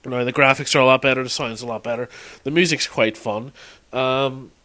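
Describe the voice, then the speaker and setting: A man's voice: medium pitch, fairly even intonation, a little fast, bright, forceful tone. A man talking casually and unscripted as a game fan in an audio log, hesitating, rambling and correcting himself as he reminisces.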